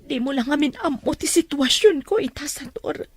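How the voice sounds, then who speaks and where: A woman playing a very high-voiced pleading wife. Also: animated, normal speed, dry recording. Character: troubled wife and mother, pleading.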